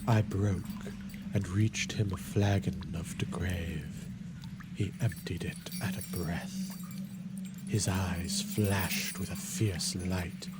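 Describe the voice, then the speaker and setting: A man narrating a gothic story in a measured, formal, dramatic style. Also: low voice, fairly even intonation. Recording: loud music behind the narration.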